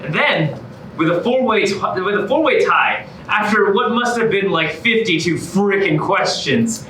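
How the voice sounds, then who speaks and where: A man's voice: high voice, expressive, unhurried, pushed and bright, exaggerated voice. A man playing an eager, wry contest competitor, narrating a stage comedy in a conversational way. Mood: wry, keyed up. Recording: distant echoey stage recording, faint noise.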